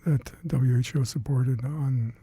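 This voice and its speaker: An older man with Hungarian as his first language, recalling past work in an oral history interview, halting and reflective with many fillers and restarts. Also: medium pitch, fairly even intonation, dark tone, breathy edge, no room sound. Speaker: interviewee, retired scientist.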